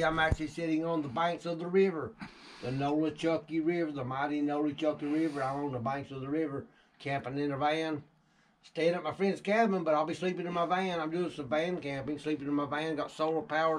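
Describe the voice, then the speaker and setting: A man's voice: a little high, steady tone, normal speed, a little hiss or hum. A middle-aged man chatting casually as a ham radio operator, in short statements he often repeats. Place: outdoors on a covered cabin porch. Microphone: camera a few feet away.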